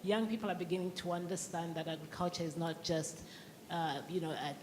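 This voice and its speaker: A woman's voice: fairly low pitch, steady tone, a little fast. A woman giving informal, encouraging remarks at a conference session in a conversational way. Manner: encouraging, conversational, repeats "you know". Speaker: audience contributor at conference session. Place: conference hall. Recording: noisy conference hall microphone.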